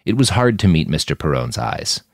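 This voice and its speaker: A man's voice: low-pitched, very animated, normal speed, dry recording, clean studio sound. A man reading a fiction story aloud in an audiobook style of narration. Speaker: author narrating own fiction podcast.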